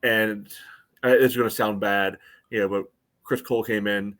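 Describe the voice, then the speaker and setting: An American man with a fairly low voice works through sports analysis as a podcast co-host. He speaks casually, in a measured, conversational manner. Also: fairly even intonation, fairly bright tone. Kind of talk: casual soccer match analysis.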